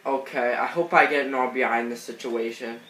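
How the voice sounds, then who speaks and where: A man's voice: mid-range voice, steady tone, a little fast, pushed and bright. A man with a cold, sniffling as he chats through baseball video game footage, rambling with lots of fillers and restarts. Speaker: gaming channel host. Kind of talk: casual gameplay commentary. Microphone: off-screen voice-over on gameplay footage. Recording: echoey room with faint noise.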